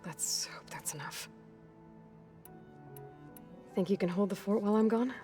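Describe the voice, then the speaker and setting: An actress playing a guarded, determined young heroine in a video game, speaking in a dry, understated, weary way. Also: female voice, medium pitch, measured pace, music in the background, close to an everyday voice. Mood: sober, weary. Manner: understated, terse, reserved. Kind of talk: naturalistic video game cutscene acting.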